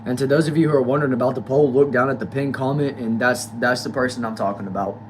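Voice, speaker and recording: A man's voice: medium pitch, steady tone, fast talker, slight background noise. A nineteen-year-old man hosting a casual livestream, reading viewers' comments aloud and answering them loosely. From United States. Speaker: livestream host reading chat.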